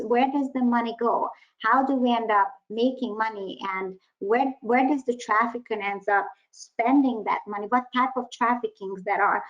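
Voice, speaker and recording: A woman's voice: on the high side, fairly bright tone. A woman hosting a webinar over a video call, politely introducing guests and thanking them. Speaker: webinar host. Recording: dull lo-fi video call audio.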